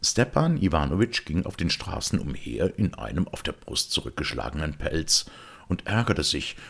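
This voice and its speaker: A man reading a literary story aloud as an audiobook narrator, measured and expressive. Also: a bass voice, creaky, slightly rough. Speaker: audiobook reader.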